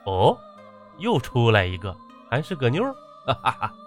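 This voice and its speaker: A man's voice: lowish voice, sing-song, moderate pace, faint music behind. A man voicing a short-tempered, lecherous battalion commander in an audiobook, swearing and barking his orders. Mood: leering, gloating. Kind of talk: audiobook character acting.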